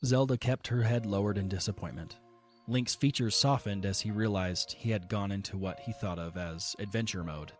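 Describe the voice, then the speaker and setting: A man narrating a fan audio drama in a calm, steady, scripted reading. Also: lowish voice, normal speed, soft background music.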